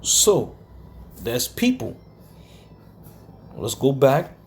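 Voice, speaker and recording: A man's voice: medium pitch, huge pitch swings, extremely slow, darkish tone, a little hiss or hum. A man teaching scripture in a combative, scolding way, often checking in with "okay?" and using some coarse language. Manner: combative, scolds listeners, keeps asking "okay?". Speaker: Bible teacher. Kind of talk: home Bible study lecture.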